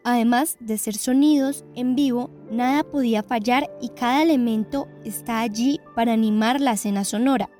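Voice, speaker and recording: A somewhat high female voice over light music. She presents a documentary in scripted narration. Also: moderate pace, hardly any pauses, mellow.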